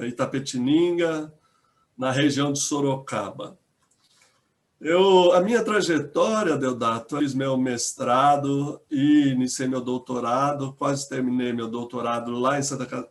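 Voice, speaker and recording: Older paulistano man, theologian and pastor; slow, measured speech, mid pitch. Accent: Paulistano Brazilian Portuguese. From São Paulo, Brazil. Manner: measured, with frequent hesitations and restarts. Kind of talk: conversational interview answers.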